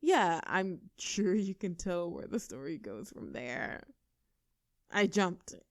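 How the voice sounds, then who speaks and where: A woman's voice: fairly low pitch, very animated, slow, dry recording, studio quality, exaggerated voice. A woman voicing a tough, brash young girlfriend in a scripted audio roleplay. She talks casually and cockily, swears freely and laughs between lines. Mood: boastful storytelling.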